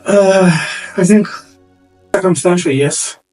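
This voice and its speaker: A man answering an interview question hesitantly, in halting phrases. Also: sits high, a little mellow, soft background music, low-quality recording. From Uganda. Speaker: guest, asylum seeker.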